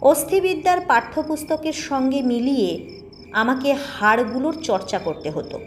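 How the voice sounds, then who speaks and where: A woman reading a scripted first-person ghost story in a measured, expressive storytelling manner. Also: high voice, soft background music. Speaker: audio story narrator.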